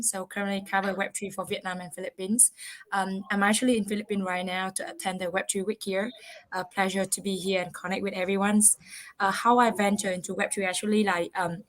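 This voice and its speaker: A woman's voice: lowish voice, fairly bright tone. A woman speaking casually on a video call as a panel speaker, with many fillers.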